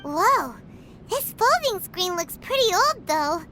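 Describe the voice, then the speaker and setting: Squeaky little fairy game mascot, super high and bouncy, bossy like a kid, noise behind. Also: female voice, very animated, slow, pushed and bright, full character voice. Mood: musing. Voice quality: squeaky, childlike. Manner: speaks of self in third person. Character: small excitable female fairy companion, childlike and bossy. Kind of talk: anime game voice acting.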